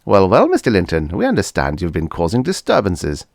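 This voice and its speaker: A man's voice: low voice, wildly swooping pitch, fairly quick, creaky, dry recording, studio quality, exaggerated voice. Man putting on a fussy, patronizing old mad doctor. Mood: chiding, fussy. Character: shifty, chiding older male mad doctor. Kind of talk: vintage science fiction audiobook character.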